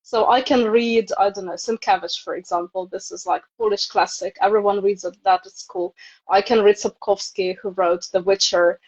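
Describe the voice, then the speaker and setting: A woman's voice: mid-range voice, steady tone, moderate pace, darkish tone. A woman in her thirties from Ukraine, a native Ukrainian speaker with a Ukrainian accent, chatting casually as an interview guest on a video call. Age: 30s. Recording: dull, lo-fi video live stream.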